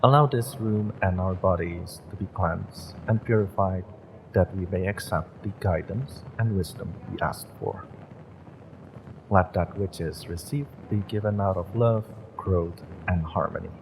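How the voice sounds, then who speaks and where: A man acting a gentle, courteous witch who runs a magick shop and reads tarot, speaking politely and a little hesitantly in a roleplay audio. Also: low-pitched, measured pace, noisy background. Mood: solemn, reverent. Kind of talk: roleplay audio, acted monologue to the listener.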